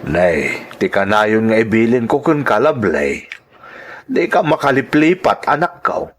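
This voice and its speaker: Man playing protective widowed father, Ilocano radio drama, grave pleading delivery, widely swinging pitch. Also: very animated, measured pace. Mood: worried pleading. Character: worried, protective widowed father advising his grown daughter.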